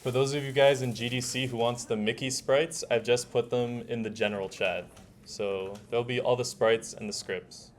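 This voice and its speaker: A young man presenting a casual software tutorial, talking informally as he demonstrates, with keyboard typing. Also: medium pitch, fairly even intonation, moderate pace. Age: young adult. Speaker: workshop presenter. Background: faint room noise, keyboard typing.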